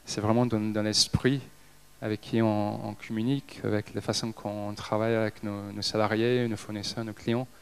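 A man in his thirties from Liverpool with an English accent, a native English speaker giving a talk on stage, speaking haltingly with many hesitations. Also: lowish voice, flat delivery, creaky, clear, resonant tone. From Liverpool, England. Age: 30s. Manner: halting, frequent hesitations and restarts. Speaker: company director giving a talk. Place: stage in an event hall with a seated audience. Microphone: handheld microphone.

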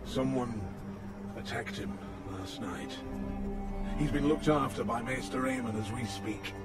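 Guy voicing old fantasy lord commander, grave n formal, takes his time, loud music under it. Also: mid-range voice, measured pace, lightly acted. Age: older. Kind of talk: dramatic fantasy game acting.

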